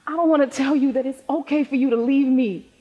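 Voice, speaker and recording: A woman playing a grieving, vulnerable young student devoted to her grandmother, in a stage play, with a husky voice. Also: high-pitched, a little fast, close to an everyday voice. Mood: grieving, tender. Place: theater stage in a reverberant hall. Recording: muffled echoey stage hall recording.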